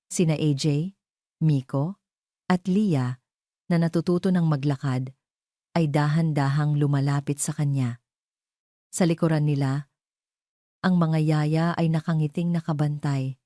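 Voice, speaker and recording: Low-voiced woman narrating a romance story. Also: steady tone, a little slow, pauses often, mellow, no room sound, clean studio sound.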